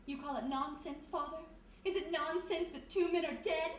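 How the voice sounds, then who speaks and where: A very high, theatrical voice rings through an echoing hall, some way from the mic. A woman plays a plaintive, clinging society daughter in a radio mystery, dramatic and full of despair. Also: moderate pace, lightly acted. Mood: accusing. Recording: very echoey hall, distant mic, faint noise.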